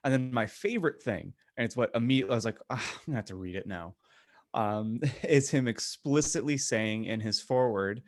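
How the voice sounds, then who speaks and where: A man's voice: medium pitch, brisk, dry recording, clean studio sound. A man co-hosting a podcast in casual chat, rambling along with enthusiasm. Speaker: podcast co-host.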